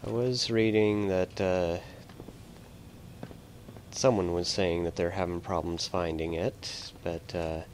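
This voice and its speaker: Low-voiced man muttering over a game. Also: fairly even intonation, slow, pauses often, darkish tone, a little hiss or hum. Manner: mumbles and mutters to himself. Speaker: gamer commentating on a video game playthrough. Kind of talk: casual gameplay commentary.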